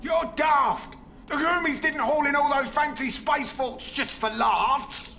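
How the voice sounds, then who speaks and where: An actor playing a cheeky, excitable ork pilot in a video game, with a Cockney accent. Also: male voice, extremely high pitch, slow pace, gravelly, slight background noise, heavily acted. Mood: incredulous. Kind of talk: video game cut-scene acting.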